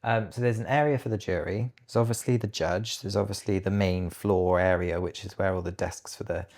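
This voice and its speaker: A man's voice: deep voice, fairly even intonation, brisk, darkish tone. A British man in his thirties speaking as an interview guest, recounting personal experiences in a reflective, hesitant way.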